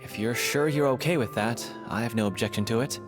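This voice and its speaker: A man plays a practical, businesslike troupe member in a game, brisk and matter-of-fact in a mid-pitched voice. Faint music plays underneath him. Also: lightly acted.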